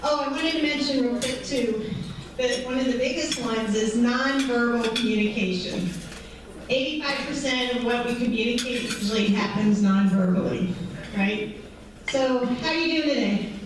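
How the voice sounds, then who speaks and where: Woman in her 40s, counselor, high voice, conversational presenter, recorded from afar in a very reverberant hall with faint background hiss. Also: moderate pace. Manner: conversational, frequent um and right. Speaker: mental health counselor. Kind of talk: presentation to a patient group. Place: large echoey event room. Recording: echoey hall, distant mic, faint noise.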